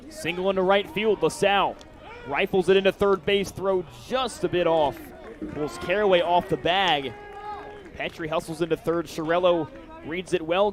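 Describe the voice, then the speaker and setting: A man with a fairly high voice announces a baseball game live. He delivers play-by-play commentary, describing the action as it unfolds.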